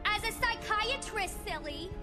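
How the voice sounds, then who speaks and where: A woman voicing a playful, cheeky female supervillain in an animated superhero film, teasing as she talks. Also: very high-pitched, unhurried, heavily acted. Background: music.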